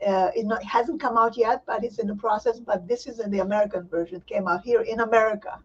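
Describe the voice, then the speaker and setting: An older woman who grew up in Israel, recalling her early life in a halting way, with many restarts. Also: mid-range voice, fairly even intonation, slightly rough. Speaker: poet and professor, interview guest. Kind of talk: virtual interview, personal recollection. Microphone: video call. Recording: distant, muffled video call audio.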